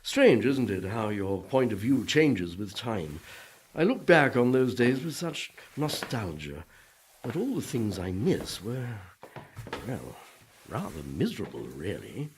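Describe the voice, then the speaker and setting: Gloomy Puritan ghost, lively intonation. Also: male voice, lowish voice, measured pace, slight background noise. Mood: wistful, glum. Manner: wistful, self-pitying, old-fashioned formal speech. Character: gloomy, repentant male ghost of a Puritan pastor. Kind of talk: radio play acting.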